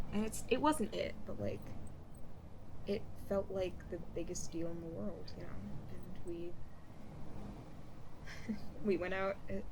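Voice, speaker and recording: A young woman improvising a roleplay as a playful, bossy, sentimental childhood friend, speaking casually and haltingly with a teasing edge. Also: fairly low pitch, background noise. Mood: nostalgic, tender. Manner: halting, rambling, casual speech with teasing bossiness.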